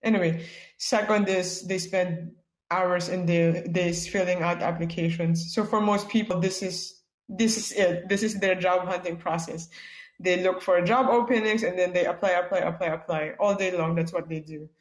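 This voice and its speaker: A woman's voice: fairly low pitch, fairly even intonation, moderate pace. A woman from the Philippines, giving a casual webinar talk as a guest speaker, chatty and full of "like" and "yeah" fillers. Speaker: guest speaker, product manager. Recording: echoey room.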